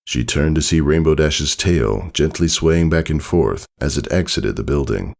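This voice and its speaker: A man narrating a scripted story dramatically, in a solemn and measured manner. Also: very deep voice, expressive, gravelly. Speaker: fan fiction narrator. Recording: soft music behind the voice.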